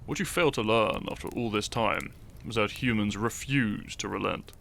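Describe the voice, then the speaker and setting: A man playing an earnest, shy young hero in a roleplay audio drama, speaking to the listener in a halting, theatrical way. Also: on the low side, creaky, fairly bright tone, slight background noise. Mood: defiant. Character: shy young hero, earnest, secretly fond of his foe.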